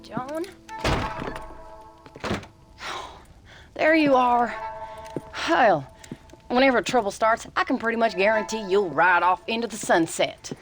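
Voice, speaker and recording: A woman's voice: a little high, moderate pace, faint music behind, close to an everyday voice. A woman playing a plain-spoken, long-suffering frontier wife and mother in a video game, with a dry manner. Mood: wry reproach. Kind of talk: video game cutscene acting.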